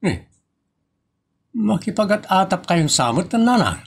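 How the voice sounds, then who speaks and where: A man's voice: high voice, very animated, a little slow, heavily acted. A man with a northern Philippine accent playing a teasing, evasive husband in a comedy audio drama.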